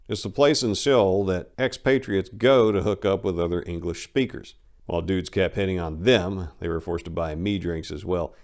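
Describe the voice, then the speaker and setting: A man with a lowish voice gives a dramatic reading of a first-person memoir. He tells it in a colloquial way, brash and wry throughout. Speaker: narrator.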